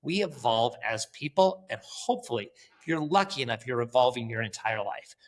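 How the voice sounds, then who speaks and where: A man's voice: medium pitch, animated, brisk. A man, a company founder guest on a podcast, talking bluntly and animatedly, swearing casually and acting out bits of conversation. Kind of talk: casual interview.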